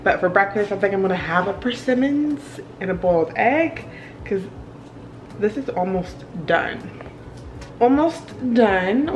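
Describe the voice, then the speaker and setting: A woman's voice: medium pitch, darkish tone, slight background noise, rough recording. A young woman talking breezily to a handheld camera at home, chatting casually and laughing often. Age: young adult. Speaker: vlogger. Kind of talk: casual vlog chat to camera. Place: home kitchen and bedroom indoors. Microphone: handheld camera at arm's length.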